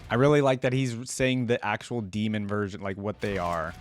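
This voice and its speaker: A man's voice: on the low side, moderate pace. A man hosting a reaction video, commenting casually in a laid-back way with short murmured asides. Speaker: host of reaction channel. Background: music.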